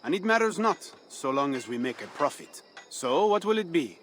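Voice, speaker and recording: An actor playing a cynical French rebel leader in a video game, sardonic and guarded, with a French accent. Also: male voice, a little high, sing-song, normal speed, a bit bright, a little hiss or hum. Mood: dry, cynical. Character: wry, cynical male French rebel leader, secretly softhearted. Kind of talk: dramatic video game acting.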